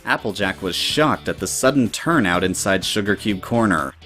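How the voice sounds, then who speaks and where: A man's voice: fairly low pitch, expressive, normal speed, bright, forceful tone, soft background music. A man reading scripted story narration as the narrator of an audio drama.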